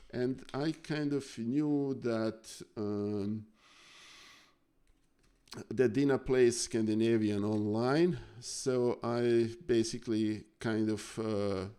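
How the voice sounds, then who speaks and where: A man from Serbia, casually chatting on a live stream while going over chess games, halting with many fillers and thanking viewers. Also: mid-range voice, darkish tone, smooth.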